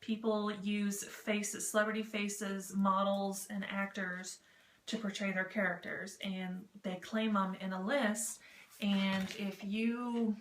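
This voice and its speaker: A woman with a mid-range voice hosts a casual explainer vlog. She rambles informally, with jokey, self-deprecating asides. The recording is echoey, with noise behind her. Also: mid-range voice. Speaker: vlog host giving tips on written role-playing. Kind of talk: casual, chatty explainer to camera. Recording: roomy echo with clear background noise.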